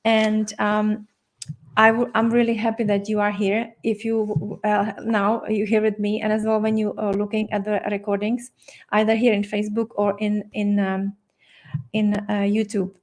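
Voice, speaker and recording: A middle-aged woman with a Czech accent hosts a live-streamed health workshop. Her pitch sits in the middle and stays fairly level. She talks in a friendly way, often pausing on fillers and starting sentences over. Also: monotone. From Czech Republic. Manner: friendly, many fillers and restarts, occasional laughs. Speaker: doctor and health coach hosting an online masterclass. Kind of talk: live-streamed teaching session.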